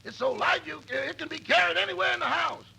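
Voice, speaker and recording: An actor playing a sardonic, wisecracking valet with a gravelly, rasping voice in 1950s radio comedy. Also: male voice, very high-pitched, fast, sharp tone, heavily acted. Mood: wry, wisecracking.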